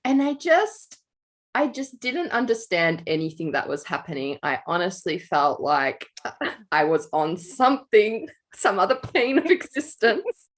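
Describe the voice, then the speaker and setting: A woman's voice: medium pitch, big pitch swings, measured pace. A young adult woman, co-hosting a writing podcast in casual chat, laughing often and telling stories with animation. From Australia. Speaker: podcast co-host.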